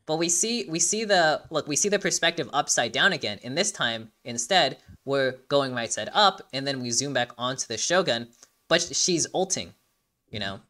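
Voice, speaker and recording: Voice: mid-range voice, lively intonation, normal speed, sharp tone. An enthusiastic host giving casual reaction commentary, rambling and often repeating phrases while searching for words. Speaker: video host.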